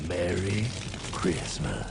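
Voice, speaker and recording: An actor playing a brash, fiery cartoon guide who speaks in an emphatic, theatrical way. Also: male voice, low voice, sing-song, takes it slowly, gravelly, heavy background noise, heavily acted. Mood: sardonic lecturing. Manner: emphatic, theatrical, calls listener pal. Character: brash, fiery male guide exposing banking schemes. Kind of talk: animated cartoon voice acting.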